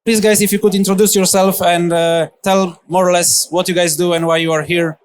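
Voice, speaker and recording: A man's voice: sits high, level tone, brisk, clear, resonant tone. A man moderating a live conference panel, asking guests conversational questions on stage.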